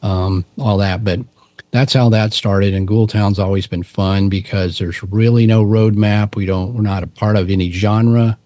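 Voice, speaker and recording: A middle-aged man from Texas with a low voice, chatting as a podcast guest in a relaxed, rambling way with frequent restarts. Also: a little slow, dry recording. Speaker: podcast guest, musician and cryptid researcher. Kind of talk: casual interview storytelling.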